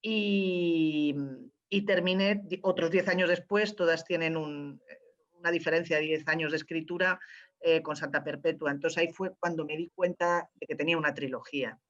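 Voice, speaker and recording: A woman's voice: low-pitched, steady tone, fairly quick. A woman gives unscripted, explanatory answers as a playwright. She halts and searches for her words. The sound is slightly dull and lo-fi. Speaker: playwright at a post-show Q&A. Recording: dull, lo-fi audio.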